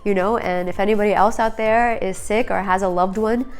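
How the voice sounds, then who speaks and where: A woman with a stuffy, congested voice, a nutrition counselor talking earnestly to her channel's viewers in a personal vlog, often pausing to search for words. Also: medium pitch, fairly even intonation, soft background music.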